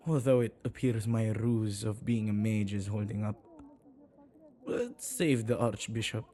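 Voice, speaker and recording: A man playing a flustered, self-neglecting male witch in an ASMR audio roleplay, speaking intimately and haltingly to the listener. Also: medium pitch, dark tone, natural voice. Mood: nervous. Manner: hesitant, halting, with sighs and murmured asides.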